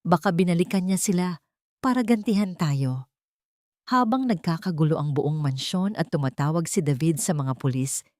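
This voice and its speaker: Low voiced lady reading a dramatic audio story, dry sound. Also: dark tone, no echo. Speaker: narrator.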